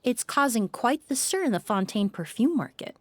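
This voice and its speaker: High-voiced, composed, refined young woman. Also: sing-song, slight background noise. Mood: composed, earnest. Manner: polite, measured, explanatory. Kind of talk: video game voice acting.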